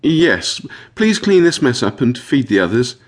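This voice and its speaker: A man's voice: medium pitch, sing-song, a little slow, voice pushed up. A man voicing an anxious, overwrought woman who runs a dog kennel, in a dramatic and fretful audiobook manner. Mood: anxious, resolute. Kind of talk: audiobook character voice.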